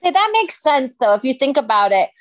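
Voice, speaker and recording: A woman chatting casually as co-host, full of fillers and quick to laugh. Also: sits high, big pitch swings, a little hiss or hum. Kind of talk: casual chat.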